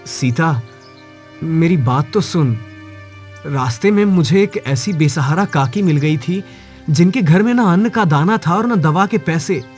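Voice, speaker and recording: A man's voice: fairly high pitch, lively intonation, unhurried, darkish tone, faint music behind. A man voicing a kind, selfless village husband in a cartoon moral story, earnest and emphatic. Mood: pleading.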